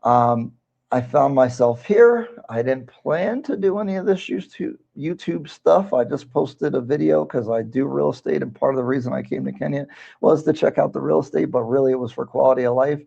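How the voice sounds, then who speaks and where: A man hosts a relaxed livestream and chats in a rambling way. His voice is gravelly and mellow. The sound is dull and lo-fi. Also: medium pitch, normal speed. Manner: rambling, conversational, reads viewer comments aloud. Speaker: livestream host, real estate broker. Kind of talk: casual livestream chat.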